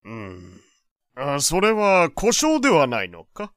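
An actor playing a genial, slightly pompous old gentleman with old-fashioned, avuncular speech in an audio drama. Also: a little high, huge pitch swings, slow pace, dry recording, clean studio sound, full character voice. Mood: relieved, impressed. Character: genial old gentleman, pompous but kindly family man. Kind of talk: Japanese audio drama acting.

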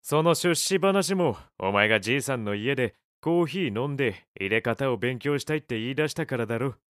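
A male actor as a gruff café owner in an audio drama. His medium-pitched voice is casual and rough-edged, with a vain streak and a habit of grumbling bluntly. It is recorded very dry. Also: lively intonation, a little fast, fairly bright tone, no echo, close to an everyday voice. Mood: annoyed. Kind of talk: Japanese audio drama acting.

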